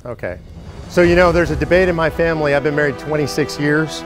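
A man in his forties giving a loud, casual, joking talk to a live audience of supporters. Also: fairly high pitch, fast talker, music in the background. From Northern California. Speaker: speaker addressing supporters. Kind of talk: casual stump speech.

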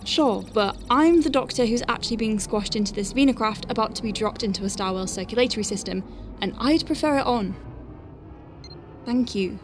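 A woman's voice: on the high side, a little hiss or hum, natural voice. A woman playing a dry-witted, capable doctor on a space station, dictating clinical notes with wry conversational asides in an audio drama. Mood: focused, wry. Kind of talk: science-fiction audio drama, spoken log.

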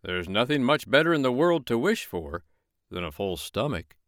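A man's voice: mid-range voice, big pitch swings, normal speed, fairly bright tone, no echo, clean studio sound, pitched above the performer's own voice. A man doing a mild, easygoing woodchopper. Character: simple, easygoing peasant woodchopper.

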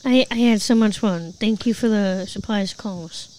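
A woman co-hosting a casual podcast, relaxed and giggly as she reads out contact details. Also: medium pitch, measured pace. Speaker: podcast co-host.

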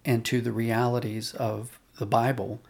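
A man's voice: mid-range voice, flat delivery, a little slow, vocal fry, darkish tone. A man speaking as an interview guest, explaining things thoughtfully and building his points step by step. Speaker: podcast guest, audio drama writer and director.

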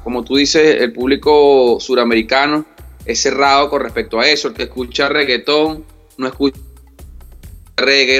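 A man's voice: mid-range voice, a bit bright. A man from Venezuela, a reggae artist, answering interview questions in an earnest way, often repeating words and checking that he is understood.

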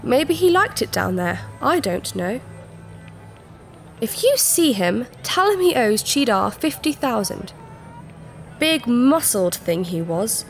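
Casting: actress as imperious alien princess, high voice, formal and crafty, sci-fi radio play, light music behind. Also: female voice, big pitch swings, takes it slowly, creaky, full character voice. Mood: cool, bargaining. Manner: formal, imperious, dramatic phrasing. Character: haughty, scheming alien princess with antennas, grandly formal. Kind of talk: sci-fi audio drama acting.

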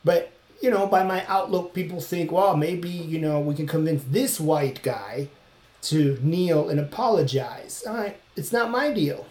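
Slow, rambling Turkish-born man podcasting. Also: a little high, a little mellow, roomy sound. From Turkey. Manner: rambling, reflective, frequent fillers and restarts. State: running while talking. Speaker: podcast host and comedian. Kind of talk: casual monologue podcast.

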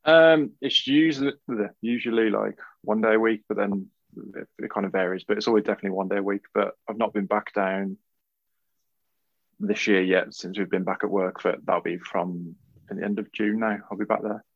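A man guesting on a podcast as a tattoo artist, answering in relaxed, rambling sentences. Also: fairly low pitch, normal speed, vocal fry, dry recording, muffled recording. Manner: relaxed, rambling, filler-heavy. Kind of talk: interview answers.

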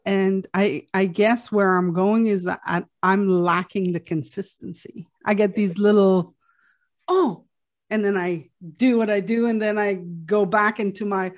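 A woman's voice: medium pitch, fairly even intonation, unhurried. A woman in her fifties hosting a podcast, chatting openly about herself with frequent restarts. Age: 50s. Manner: chatty and self-revealing, with frequent restarts and laughs. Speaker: podcast host. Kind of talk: casual interview chat.

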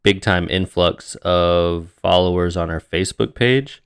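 A man's voice: sits low, unhurried, creaky, clean tone, no room sound, clean studio sound. A tired, sniffly young man co-hosting a casual podcast, joking along with his co-host. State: sniffly, mouth breathing. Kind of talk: casual chat.

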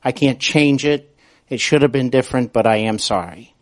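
A man hosting a podcast, speaking in a polite, somewhat halting way. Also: mid-range voice, dry recording, clean studio sound. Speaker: podcast host. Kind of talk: interview talk and show announcements.

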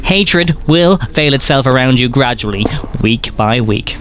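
An actor playing an arrogant, caustic science professor in a comic audio drama, lecturing in a pompous, sardonic and wordy way. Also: male voice, medium pitch, lively intonation, a little slow, a bit bright, slight background noise. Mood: withering, pompous.

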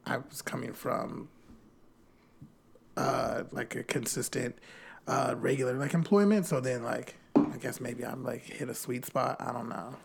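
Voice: mid-range voice, fairly even intonation, measured pace, creaky, a little hiss or hum. A speaker chatting casually with friends on a podcast in a laid-back, joking way, using "like" often.

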